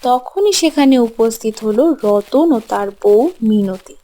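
A woman's voice: on the high side, lively intonation, measured pace, warm tone. A woman narrating a scripted children's fairy tale as the storyteller. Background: music.

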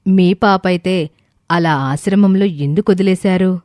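A cautious, compassionate orphanage director, acted by a woman in an audio moral story. She is measured and polite, with a soft-toned, mellow voice and a dry recording. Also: lowish voice, no echo, natural voice. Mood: questioning. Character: cautious, questioning, compassionate female orphanage head.

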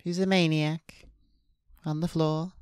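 A woman's voice: low voice, little pitch movement, takes it slowly, clear, resonant tone, no echo, clean studio sound. A woman streamer reading visual novel narration aloud, with casual asides. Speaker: VTuber streamer. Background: soft guitar game music.

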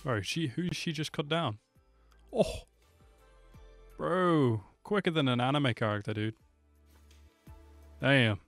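A man's voice: mid-range voice, slow pace, long pauses, fairly bright tone. Male reaction host, 20s, slangy and easily impressed, close mic, music underneath. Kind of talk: casual reaction commentary. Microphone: close desk microphone with pop filter. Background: music from game trailers.

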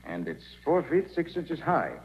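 An actor playing a shrewd, self-assured private detective in a 1940s radio drama, speaking crisply and with command. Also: male voice, fairly high pitch, very animated, measured pace. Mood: brisk, assured. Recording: muffled, noisy 1940s radio recording.

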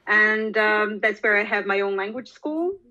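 A woman from Bosnia in her forties speaks in a bright, clear tone, pausing often with little fillers as she thinks. The sound is a bit dull and lo-fi, as if it came in over a video call. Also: mid-range voice. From Bosnia and Herzegovina. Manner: thoughtful, many fillers. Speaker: guest, language teacher. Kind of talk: podcast interview.

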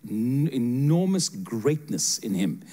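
An older man preaches to a seated congregation in a church sanctuary, talking straight to individual listeners. His handheld mic picks up a voice of middling pitch that moves between earnest prophecy and light teasing jokes. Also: slow. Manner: animated, joking, direct address to listeners. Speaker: guest preacher giving prophetic words.

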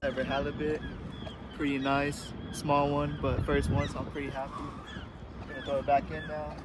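Chill guy talking about his fish on the rocks, kinda high voice, loud music over him. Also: completely flat delivery, normal speed. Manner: easygoing, short remarks about his catch. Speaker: fishing vlogger. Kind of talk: casual outdoor chat. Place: outdoors on a rocky bay shore.

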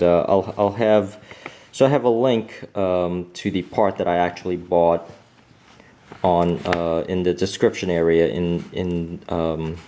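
Slow, low-voiced man with halting speech. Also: warm tone. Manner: hesitant, many fillers and restarts. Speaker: home fixer-upper showing a manual. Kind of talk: casual off-camera walkthrough.

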